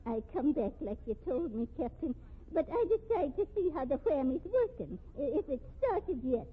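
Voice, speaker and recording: A woman's voice: high-pitched, big pitch swings, moderate pace. An actress in a 1950s radio mystery playing a feeble-minded flower-selling woman, gleeful and simple, with uneducated speech. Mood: eerily pleased. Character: feeble-minded, eccentric flower-seller woman boasting of curses. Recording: tinny band-limited old radio recording.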